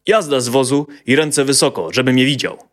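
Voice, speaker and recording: Man putting on a greedy, high-strung forest bandit. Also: expressive, brisk, lightly acted. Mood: commanding. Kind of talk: children's fairy tale character voice.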